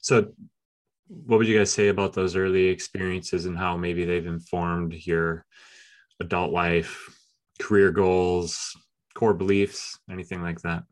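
A man hosting, asking questions in a measured, deliberate way. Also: deep voice, flat delivery. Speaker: host. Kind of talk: interview question.

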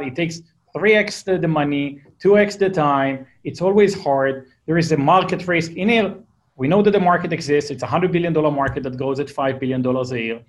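A man in his forties from Israel with an Israeli accent, a founder being interviewed, talking casually and repeating words as he restarts. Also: on the high side. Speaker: guest, company founder.

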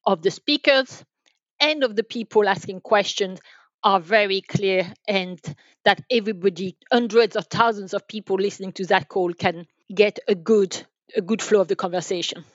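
A woman with a mid-pitched voice answers as a podcast guest, explaining things step by step. She restarts and repeats words as she works through her answers. The sound is dry and somewhat dull. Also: moderate pace, no echo. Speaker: podcast guest, enterprise sales manager. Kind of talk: interview answers, explanatory talk.

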